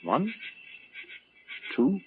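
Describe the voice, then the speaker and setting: An actor playing a practical, terse American inventor in a 1950s radio drama. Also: male voice, extremely slow. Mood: tense, directing. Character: practical time-machine tinkerer, terse, tense leader. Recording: noisy muffled 1950s radio recording.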